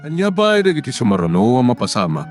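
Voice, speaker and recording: A man's voice: mid-range voice, huge pitch swings, fast, loud music behind the voice. A man doing scripted narration as a drama channel's announcer.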